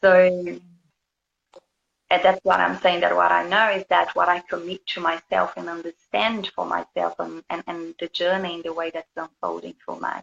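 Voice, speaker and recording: A woman from Brazil with a Brazilian accent, a guest talking casually about her healing work, gently searching for words. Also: on the low side, level tone, moderate pace, creaky. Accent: Brazilian Portuguese. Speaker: guest, yoga and healing teacher. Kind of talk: casual conversation. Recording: dull lo-fi audio with faint background hiss.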